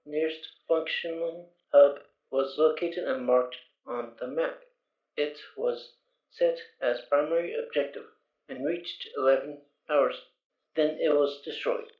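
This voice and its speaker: A man's voice: a little high, unhurried, close to an everyday voice. A man voicing the computer of a protective suit, speaking in clipped robotic announcements. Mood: stiff. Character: flat-spoken male computer voice of a suit. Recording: roomy, distant, lo-fi amateur mic.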